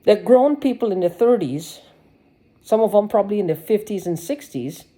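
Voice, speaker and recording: A woman's voice: medium pitch, expressive, moderate pace, dark tone. A woman giving a rambling personal video monologue, agitated and sarcastic, swearing and restarting her sentences. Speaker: vlogger.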